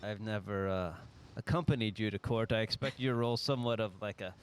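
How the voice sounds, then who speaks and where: A man in a conversational discussion, phrasing his thoughts in a measured, tentative way. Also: fairly low pitch, slight background noise.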